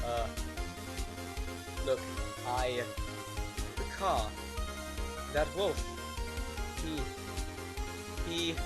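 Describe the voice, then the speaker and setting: A man's voice: fairly high pitch, heavily acted. A man playing a hostile, cocky murder suspect on a witness stand in a courtroom audio drama, sneering and coming unhinged. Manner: sneering, stammering under pressure, shouting.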